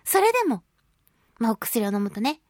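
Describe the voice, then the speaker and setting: A woman's voice: on the high side, sing-song, normal speed, a little mellow, dry recording, clean studio sound. A woman hosting a casual solo radio talk, speaking expressively with giggly asides and little sound effects. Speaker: radio show host, voice actress.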